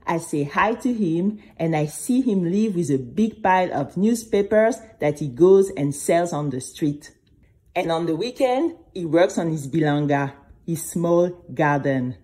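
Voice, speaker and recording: A woman's voice: lowish voice, a little slow. A woman playing a chatty, dramatic young girl who tells her own story straight to listeners in an audio drama for children. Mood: fond, confiding. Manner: expressive, confiding, exclamatory, addressing listeners directly. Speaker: narrator and main character.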